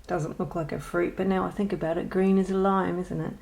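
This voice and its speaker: Woman vlogger, lowish voice with vocal fry, hesitant and rambling, self-deprecating. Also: flat delivery, brisk, darkish tone. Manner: rambling and hesitant, many ums and restarts; self-deprecating, chatty asides. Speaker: colouring channel host. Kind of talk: casual show-and-tell vlog.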